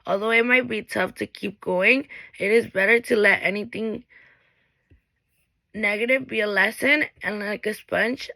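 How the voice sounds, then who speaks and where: Creaky bright young woman. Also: medium pitch, dry recording, poor audio. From Mexico. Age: late teens. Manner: earnest, reads from a script, with small restarts. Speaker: graduating high school student. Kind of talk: reading a prepared graduation speech.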